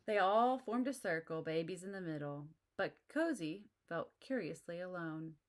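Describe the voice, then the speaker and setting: Friendly woman, slightly low voice, reading a picture book aloud with asides for kids. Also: animated, moderate pace. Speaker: children's book reader.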